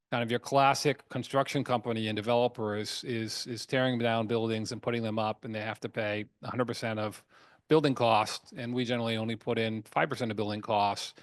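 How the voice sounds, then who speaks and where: A man speaking as a company chairman on an earnings call, explaining emphatically in a halting way, often repeating words and restarting. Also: fairly low pitch, no room sound. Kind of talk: earnings call presentation, semi-scripted business talk.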